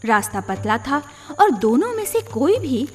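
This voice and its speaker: A woman storyteller reading scripted children's tales in a deliberate, expressive manner. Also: high voice, husky. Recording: long reverb, music behind.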